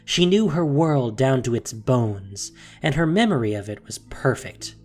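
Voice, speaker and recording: A male narrator delivers scripted dramatic fiction in a mid-range voice. He is solemn and deliberate, pausing for weight, with music quietly underneath. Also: mid-range voice, expressive.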